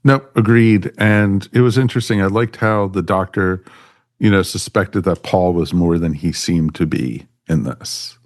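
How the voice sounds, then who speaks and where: A man's voice: low voice, a little slow, no room sound, clean studio sound. A man chatting casually and emphatically as a panelist on a review podcast, often repeating himself.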